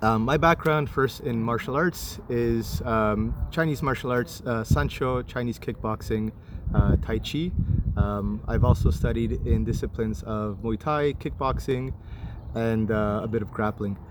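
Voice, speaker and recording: A man from Chile who moved to Canada as a child, answering interview questions as an instructor in a halting, deliberate way. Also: medium pitch. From Chile, moved to Canada at eight. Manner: halting, measured, deliberate explaining. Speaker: martial arts and violence prevention instructor, guest. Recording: clearly noisy, urban traffic ambience.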